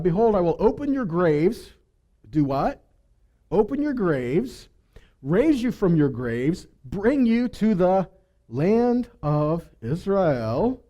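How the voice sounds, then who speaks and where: A man delivers a conversational sermon in a high voice with a soft, mellow tone. His pitch moves around a great deal as he reads scripture, repeats key phrases for emphasis and puts rhetorical questions to his listeners. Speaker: Bible teacher.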